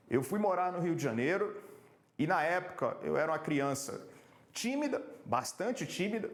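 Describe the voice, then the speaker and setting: A man from Salvador, Brazil, coaching on public speaking in a measured, emphatic way that slows down to stress key points. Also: a little high. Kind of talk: motivational talk to camera. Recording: echoey room.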